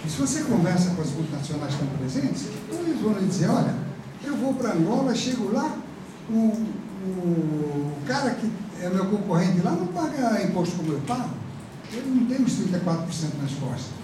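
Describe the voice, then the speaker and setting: A Brazilian man gives a rambling, chatty university lecture, his fairly high voice full of fillers and tag questions. He sounds far from the microphone, in a strongly echoing classroom. Steady background noise runs beneath his words. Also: brisk. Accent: Brazilian Portuguese. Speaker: professor. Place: large classroom. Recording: echoey classroom from far off, noisy.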